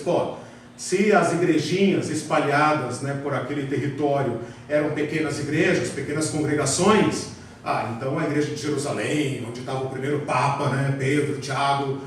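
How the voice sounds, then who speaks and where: A man with a Brazilian Portuguese accent teaching a theology class, often tagging questions onto his sentences and repeating words for emphasis. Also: on the high side. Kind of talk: classroom lecture. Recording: very echoey room, voice far from the mic, faint noise.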